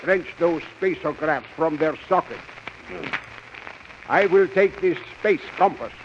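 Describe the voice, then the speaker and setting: High-voiced commanding scientist, old radio. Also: male voice, slow. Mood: brisk, commanding. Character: brisk commanding scientist ally in space adventure. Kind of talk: 1930s radio serial acting. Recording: muffled old radio recording, faint hiss.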